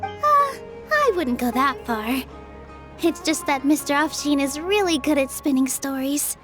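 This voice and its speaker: Gentle, high-voiced young performer. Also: female voice, very animated, unhurried, soft background music, full character voice. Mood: mild, cautioning. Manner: polite, gentle, considerate. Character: gentle, kind young woman theatre dancer. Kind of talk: English anime game dub acting.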